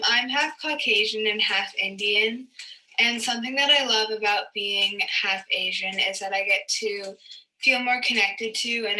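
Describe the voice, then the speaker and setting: A woman's voice: mid-range voice, sharp tone, distant mic. A young woman giving a casual testimonial into a phone a little way off, faintly noisy. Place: bedroom by a window.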